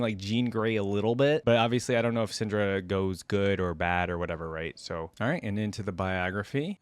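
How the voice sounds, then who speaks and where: A man's voice: on the low side, no room sound. A man hosting reaction videos, talking informally and conversationally as he comments and reads aloud. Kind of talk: casual reaction commentary mixed with reading aloud.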